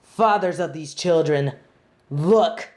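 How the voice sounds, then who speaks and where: A woman's voice: low voice, slow pace, a little mellow. A woman playing a haughty, sardonic young female judge in a dramatic audio roleplay, speaking in formal, imperious, theatrical phrases. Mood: scornful, commanding. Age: young adult.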